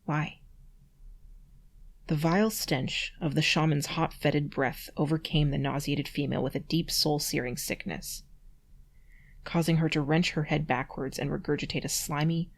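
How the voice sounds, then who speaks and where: A mid-pitched reader, sex unclear, reading prose aloud and adding incredulous, nitpicking, giggly asides. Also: fairly even intonation, normal speed, vocal fry. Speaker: amateur reader doing a read-aloud with commentary.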